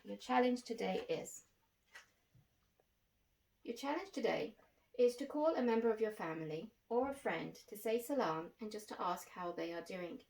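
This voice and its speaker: A woman's voice: mid-range voice, animated, moderate pace, vocal fry, a little mellow. A woman hosting a religious lesson for children, explaining things simply, step by step. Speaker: host and teacher of a children's religious programme. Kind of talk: instructional talk addressed to children. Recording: roomy echo, distant microphone.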